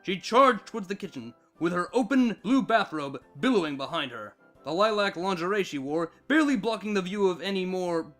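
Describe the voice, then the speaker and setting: High-pitched, animated male story narrator. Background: background music.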